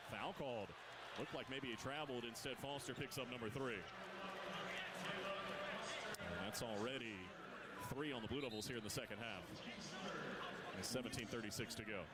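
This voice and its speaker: A man giving radio play-by-play for a college basketball game, his pitch jumping up on big plays. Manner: rapid, rising excitement on big plays. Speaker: play-by-play announcer. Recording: radio broadcast with loud arena crowd noise. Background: arena crowd and bouncing basketball.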